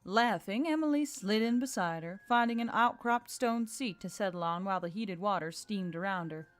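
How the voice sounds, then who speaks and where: A woman's voice: mid-range voice, normal speed. Woman narrating fantasy over music. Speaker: audiobook narrator. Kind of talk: scripted fantasy story narration. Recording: music bed under the voice.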